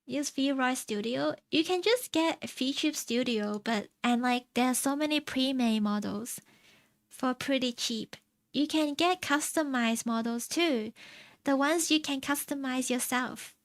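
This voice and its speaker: A young woman from China chatting casually on stream, answering her chat in a soft, rambling way and laughing often. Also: high-pitched, unhurried, no echo. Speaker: VTuber streamer. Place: home streaming setup.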